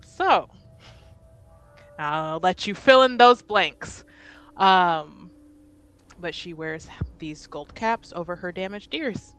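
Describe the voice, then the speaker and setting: A woman with a bright, forward voice plays a tabletop roleplaying game. She narrates her character at an unhurried pace, informal and expressive, with casual swearing. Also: fairly low pitch, smooth, soft background music.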